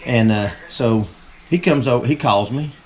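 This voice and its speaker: A man's voice: medium pitch, normal speed. A man telling a long folksy story in casual chat, acting out what people said. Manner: folksy, acts out quotes. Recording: crowd noise behind.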